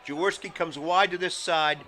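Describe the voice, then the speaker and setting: A man's voice: fairly high pitch, fairly even intonation, normal speed, pushed and bright. A man doing live football play-by-play as the game announcer, in clipped phrases that build on big plays. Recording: noisy outdoor game with crowd behind. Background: crowd and game noise.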